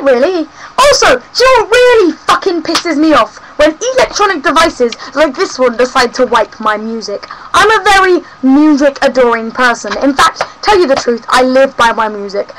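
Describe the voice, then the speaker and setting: Chatty high-voiced young London woman. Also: animated, normal speed, fairly bright tone, a little hiss or hum, low-quality recording. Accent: London English. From South West London, England. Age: young adult. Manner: chatty, cheeky and ranting. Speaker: vlogger. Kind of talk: casual rambling vlog. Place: home room.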